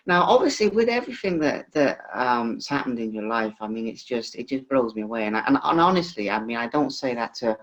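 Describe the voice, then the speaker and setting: A man's voice: medium pitch, expressive, fairly quick, few pauses, dull audio. A man hosting an informal interview in a rambling, effusive way. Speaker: interviewer, host.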